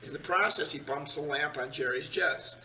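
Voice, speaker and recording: A man's voice: fairly high pitch, normal speed, pushed and bright. A man narrating scripted stage directions at a table read in a large meeting room. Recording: echoey room, distant mic, hiss.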